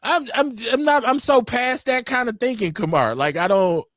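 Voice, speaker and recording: Man, 40s, animated podcast host, emphatic, dry close sound. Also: very high-pitched, normal speed, no echo. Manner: animated, emphatic, restarts often. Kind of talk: casual chat.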